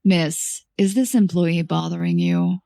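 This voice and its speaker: A woman's voice: lowish voice, fairly even intonation, measured pace, vocal fry, warm tone, no echo, pitched below the performer's own voice. A woman voicing an overwrought, servile male supermarket manager, melodramatic and pleading, in a comic story. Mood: solicitous.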